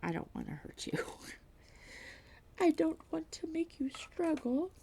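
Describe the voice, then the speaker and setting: A woman vlogging at home while diamond painting, reading aloud and chatting in a self-deprecating way, with a slightly stuffy nose and frequent soft whispers. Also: very high voice, very animated, takes it slowly, gravelly. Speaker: crafting vlogger.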